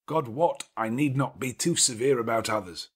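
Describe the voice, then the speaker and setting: A man voicing a brusque, imperious, self-reproaching gentleman in an audiobook of a classic novel, with emphatic, commanding delivery. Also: mid-range voice, normal speed, breathy edge, natural voice. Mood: brooding, confessional.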